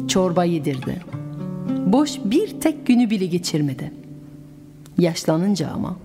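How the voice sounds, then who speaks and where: A French woman with a French accent, hosting a radio show and telling a folk tale in an expressive, musical way. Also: medium pitch, long reverb tail. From France. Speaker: radio host and storyteller. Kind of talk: storytelling for adults on radio.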